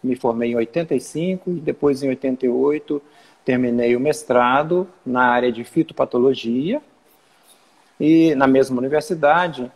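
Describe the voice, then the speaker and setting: A man in his fifties from Minas Gerais, a plant pathology researcher answering interview questions in a measured, hesitant way. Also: mid-range voice, darkish tone, long reverb tail. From Minas Gerais, Brazil. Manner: measured, hesitant, many "é" and "né" fillers. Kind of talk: interview answers over video call.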